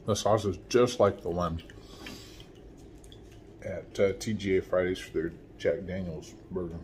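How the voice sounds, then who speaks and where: Relaxed man chatting while he eats. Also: low voice, unhurried, slight background noise. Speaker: food vlogger. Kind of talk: casual food review. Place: small room at home, window blinds. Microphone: phone camera at arm's length.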